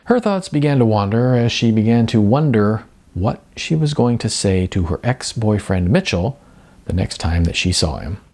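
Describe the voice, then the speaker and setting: A man reads a story aloud as its narrator. His voice sits fairly low and moves through lively, varied pitch, giving the storytelling plenty of expression. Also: normal speed.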